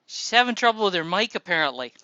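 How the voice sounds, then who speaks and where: A man hosting a casual voice-chat show, relaxed and helpful, talking through people's posts. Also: high-pitched, fast talker, clear, resonant tone, no room sound, dull audio. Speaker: show host.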